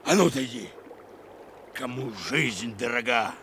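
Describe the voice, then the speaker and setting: Hardened killer, radio drama, sneering menace, slow delivery, faint background noise. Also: male voice, medium pitch, lively intonation, bright, forceful tone. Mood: deadly threat. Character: menacing hardened criminal gang killer, bitter and threatening.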